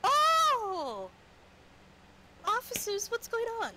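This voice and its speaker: A woman plays a genteel old orc lady in a tabletop roleplaying game. She is high and bright, fond and fussing, with a slow, lilting delivery over a noisy stream. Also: huge pitch swings, exaggerated voice. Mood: concerned surprise. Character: sweet old orc lady, motherly and coy.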